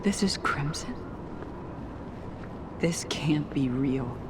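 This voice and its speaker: An actress playing an uneasy but determined detective in a horror game, muttering to herself in a hushed, breathy voice. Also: female voice, animated, extremely slow, exaggerated voice. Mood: uneasy, stunned. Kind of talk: horror game dramatic monologue. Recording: loud rumbling ambient noise behind voice.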